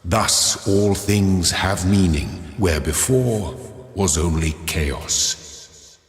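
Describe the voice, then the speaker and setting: Solemn creator deity, game narration: low, unhurried, grandiose and paternal, drenched in long cathedral-style reverb. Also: male voice, a little hiss or hum. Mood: solemn, reassuring. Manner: grandiose, measured, formal, preacher-like. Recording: cavernous reverb with long echoing tail.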